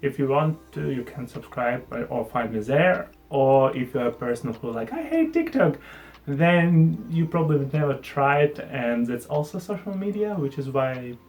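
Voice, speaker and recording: A man's voice: mid-range voice, big pitch swings, a little slow, a little mellow. A young man, a native Ukrainian speaker with an accent, chatting casually to camera in his vlog with a self-deprecating, friendly manner. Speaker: vlogger. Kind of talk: casual talking-head vlog.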